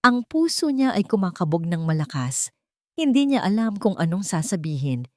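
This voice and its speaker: A woman's voice: mid-range voice, big pitch swings, moderate pace, darkish tone, no echo, studio quality. A woman reading scripted, dramatic narration for a romantic audio drama.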